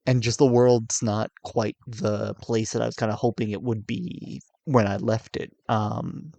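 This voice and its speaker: Tired, raspy young man talking candidly. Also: level tone, measured pace, no echo. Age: 20s. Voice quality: low and raspy. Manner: hesitant, soft-spoken, many fillers and restarts. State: tired, coming down with a cold. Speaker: YouTuber. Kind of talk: candid confessional vlog.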